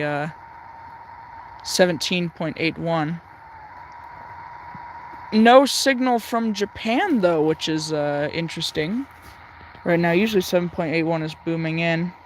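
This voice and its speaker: A man with a fairly high voice chats casually while tuning, stopping often on ums and uhs. He thinks aloud at an easy pace. A light hiss sits behind him. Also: rough recording. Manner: chatty, thinks aloud, many fillers. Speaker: livestream host tuning a shortwave radio. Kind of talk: casual live commentary.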